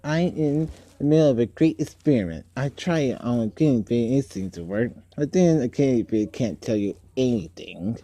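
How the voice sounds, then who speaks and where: A man's voice: mid-range voice, lively intonation, normal speed, warm tone, dry recording. A man voicing a storybook villain, a sly older uncle who is cunning, wheedling and falsely genial. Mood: eager, sinister. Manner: cunning, wheedling, self-pitying.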